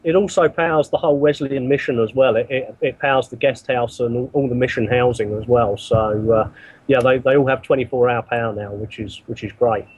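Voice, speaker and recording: A man being interviewed as a guest, speaking in a halting way and often repeating his words. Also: medium pitch, fairly even intonation, darkish tone, slightly rough, rough recording. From not from the country he works in. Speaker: interview guest, mission builder. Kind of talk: remote interview answers.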